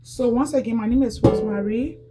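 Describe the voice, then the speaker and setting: Chatty woman vlogger, slightly high voice. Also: fairly quick. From Liberia. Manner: chatty and repetitive, often addresses viewers as guys. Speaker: YouTuber demonstrating cleaning. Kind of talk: casual home how-to vlog. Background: background music.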